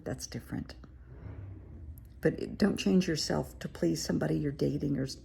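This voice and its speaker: A woman therapist with a cold, her voice croaky and raspy, giving frank and encouraging advice straight to the viewer from her living room. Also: fairly low pitch, mellow. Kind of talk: casual direct-to-camera advice talk.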